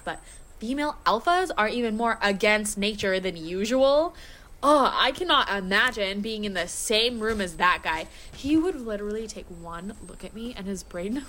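A woman's voice: medium pitch, bright, forceful tone. An actress playing a brash, stubborn, short-tempered female werewolf and former pack alpha in an audio drama, mocking and emphatic. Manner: mocking, emphatic, quick to laugh. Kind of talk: audio drama acting, casual banter.

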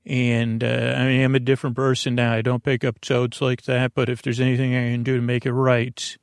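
A man rambling through an improvised sleep podcast monologue, halting, apologetic and self-deprecating, often trailing off and circling back. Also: mid-range voice, completely flat delivery, vocal fry, smooth, no echo, studio quality. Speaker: podcast host.

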